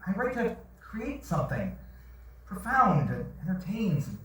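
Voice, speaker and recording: A man's voice: high-pitched, wildly swooping pitch, measured pace, full character voice. A man on stage playing a wry, earnest fiction writer who gets exclamatory and defensive, heard in a very echoey theatre from far off. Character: earnest, sarcastic male fiction writer, exasperated by bad dates. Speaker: actor in a staged reading. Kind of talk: theatrical stage monologue and dialogue. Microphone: far shaky audience camera. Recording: very echoey theatre, distant mic, faint noise.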